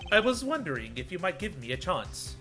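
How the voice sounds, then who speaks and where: A man's voice: high-pitched, big pitch swings, a little fast, over music. A man voicing a proper, indignant prosecutor with a formal, dramatic delivery in a game fan dub. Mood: composed, wary. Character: proper, indignant male prosecutor accused of murder.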